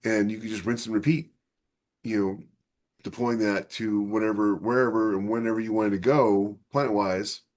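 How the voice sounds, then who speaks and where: A man's voice: on the low side, fairly even intonation, moderate pace, darkish tone. A man co-hosting a podcast, talking casually and thinking aloud as he discusses science fiction ideas. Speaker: podcast co-host.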